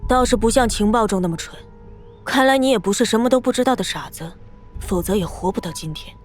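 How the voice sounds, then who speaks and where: A woman's voice: medium pitch, quick pace, a little mellow, natural voice. Woman doing a cold snooty young swordswoman, talks down to you, echoey with music behind. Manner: cold, condescending. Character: haughty, scornful young swordswoman from a noble house. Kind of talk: animated audio drama acting.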